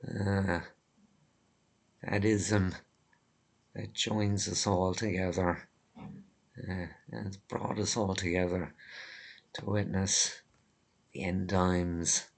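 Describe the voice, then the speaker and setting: A man with a hoarse, breaking voice giving a rambling personal monologue in a morose, sardonic, halting way. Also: low voice, little pitch movement. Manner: morose, weary, sardonic gloom; halting, with long pauses. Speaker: vlogger.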